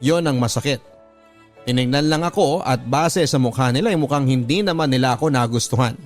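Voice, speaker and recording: A man with a Filipino accent reading a dramatic first-person story as a radio drama narrator, measured and earnest. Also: medium pitch. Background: background music.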